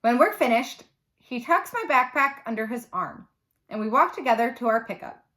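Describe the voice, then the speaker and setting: Higher-voiced woman reading a children's novel. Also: animated, moderate pace, bright, forceful tone. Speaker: teacher reading aloud. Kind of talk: children's novel read-aloud, expressive narration.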